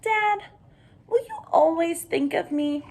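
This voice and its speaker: A woman plays an affectionate little boy in a children's storybook. The voice is high and swoops about with eagerness, wondering and questioning, at an unhurried pace. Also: animated, a little hiss or hum, heavily acted. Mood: eager, questioning. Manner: bright-eyed, questioning, wondering. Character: eager, affectionate young boy talking to his dad.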